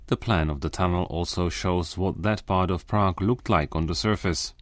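A man reporting a scripted radio feature, narrating in a measured, even way. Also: sits low, dry recording, studio quality.

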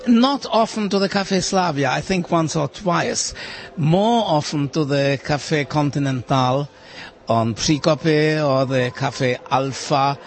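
Slow-speaking man in his eighties. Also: mid-range voice. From Czech Republic. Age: 80s. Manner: slow, thoughtful, measured recollection. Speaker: interviewee. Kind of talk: reminiscing interview. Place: café.

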